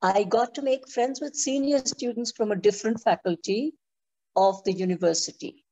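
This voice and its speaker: A woman in her seventies from India, a Tamil speaker, reading a prepared conference talk in a measured, deliberate way. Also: fairly high pitch, mellow, rough recording. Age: 70s.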